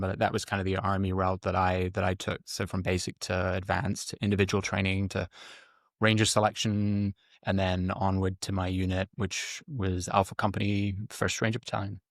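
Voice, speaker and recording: A middle-aged man from the UK answering interview questions conversationally, explaining things with frequent pauses. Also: deep voice, no echo, clean studio sound. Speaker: guest, former Army Ranger medic.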